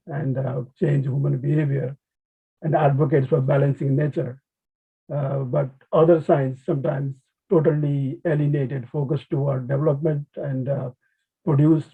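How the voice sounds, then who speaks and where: A man with a Nepali accent lectures informally in a husky, fairly high voice. His phrasing is halting, with many restarts. The sound is thin and narrow, like a phone line on an online call. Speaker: conservation biologist, webinar speaker. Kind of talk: informal academic webinar talk. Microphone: video call.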